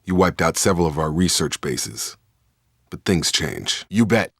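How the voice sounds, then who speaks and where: A man's voice: sits low, very animated, measured pace, gravelly, husky, no room sound, clean studio sound, full character voice. A man playing a loyal, guarded soldier in a video game, speaking in clipped, matter-of-fact military phrases. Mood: steady, serious. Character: steady, loyal male soldier, guarded and plainspoken. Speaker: video game squad member. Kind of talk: scripted video game dialogue.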